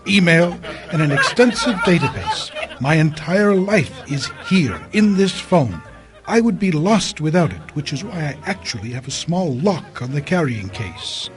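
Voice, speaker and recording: A man playing a wealthy, harried tech tycoon in a radio drama, brisk and self-important. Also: on the high side.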